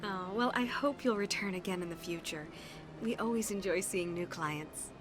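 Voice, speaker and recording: A woman's voice: lowish voice, moderate pace, music in the background, lightly acted. A woman voicing a courteous receptionist in a video game, professional and politely guarded. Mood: obliging. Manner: courteous, professional, slightly coy. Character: polite young alien receptionist, politely gatekeeping.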